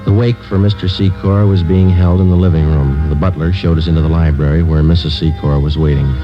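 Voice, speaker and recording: An actor playing a terse, matter-of-fact police sergeant who narrates a case in a 1950s radio drama. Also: male voice, a bass voice, a little fast. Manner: clipped, flat, matter-of-fact. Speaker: detective narrator. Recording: dull old radio broadcast with music.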